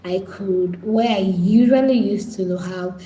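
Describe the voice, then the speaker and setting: A woman's voice: medium pitch, slow pace, dark tone, echoey room. A Nigerian woman hosting a casual live stream, pleading and repetitive as she talks straight to her viewers.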